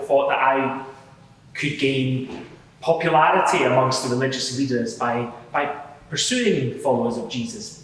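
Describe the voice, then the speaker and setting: In a big reverberant hall, heard from a distance, a man plays an earnest converted Pharisee on the witness stand. He explains his change of heart in a halting, sincere way, at a middling pitch. It is live courtroom drama with faint noise. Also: fairly bright tone. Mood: earnest recollection. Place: large reverberant courtroom before a live gathering.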